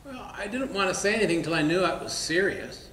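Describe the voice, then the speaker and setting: A man's voice: high voice, lively intonation, a little fast, bright, forceful tone. A man playing a gullible, lovestruck, stubborn bar regular in a stage comedy, talking in a folksy, earnest, defensive way. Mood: smitten, proud. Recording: echoey room with background noise.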